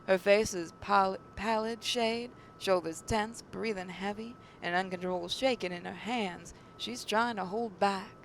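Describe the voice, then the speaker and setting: A faint rumbling noise sits behind a woman with a mid-pitched voice. She reads visual novel narration aloud as a gaming host, now and then stumbling over a word. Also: steady tone, measured pace, hardly any pauses.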